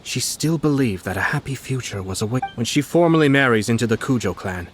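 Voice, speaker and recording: A man voicing a courteous, shrewd young noble lord in a video game, speaking in a formal, measured, deliberate way. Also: medium pitch, lively intonation, soft background music, natural voice. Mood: grave, analytical. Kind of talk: video game character acting.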